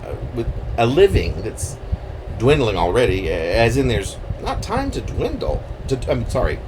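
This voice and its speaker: A man reading his own poem aloud in a theatrical, halting manner with swooping emphasis. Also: medium pitch, huge pitch swings, noisy background. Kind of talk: dramatic poetry reading.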